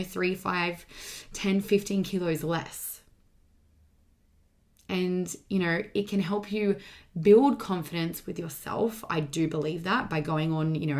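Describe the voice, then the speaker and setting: A woman hosting a motivational solo podcast as a coach, speaking casually and emphatically, repeating key points, with her voice slightly strained from partly losing it. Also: fairly low pitch.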